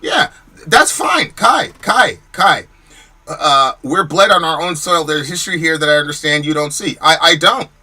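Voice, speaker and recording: An American man argues into the mic with a sharp, forceful edge, and his voice sits a little higher than most. He fires off rhetorical questions and restarts sentences as he presses his point. It sounds like a podcast host answering his chat. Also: expressive, moderate pace. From United States. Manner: repeats words and restarts when worked up. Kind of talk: heated livestream commentary answering chat comments.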